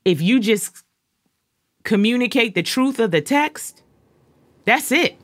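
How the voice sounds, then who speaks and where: A woman's voice: fairly high pitch, animated, slow pace, no room sound, clean studio sound. A woman, an actor, talking conversationally in an interview and landing key words with emphasis.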